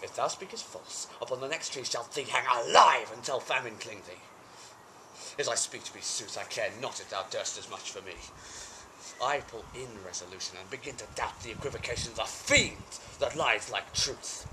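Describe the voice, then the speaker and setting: A man's voice: mid-range voice, animated, normal speed, close to an everyday voice. A man playing a brooding, war-weary tyrant king in an intense, theatrical Shakespearean tragic monologue. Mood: threatening, doubtful. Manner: theatrical, intense, shifting between hushed and outbursts. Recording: amateur home video with background noise. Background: birds and ambient noise.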